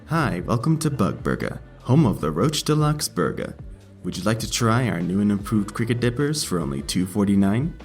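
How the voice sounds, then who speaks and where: Audiobook role: short, laid-back badger cashier male actor, half-asleep stoner drawl, fairly low pitch. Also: big pitch swings, moderate pace, darkish tone, faint music behind. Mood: bored, tired. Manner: lazy, casual stoner drawl. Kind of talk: audiobook character acting.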